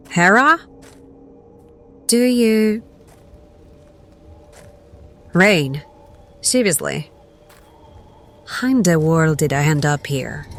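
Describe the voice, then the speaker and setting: A woman playing a haunted, grieving young adventurer in an audio drama, with an anguished, halting delivery. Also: sits low, full character voice. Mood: shock, disbelief. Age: young adult. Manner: anguished, halting, breathy at times.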